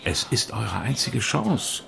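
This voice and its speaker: A decisive smuggler and ally in a radio drama. His deep voice has a creaky edge and a firm, reassuring delivery. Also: big pitch swings, takes it slowly, a bit bright, faint music behind, full character voice. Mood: firm, urgent.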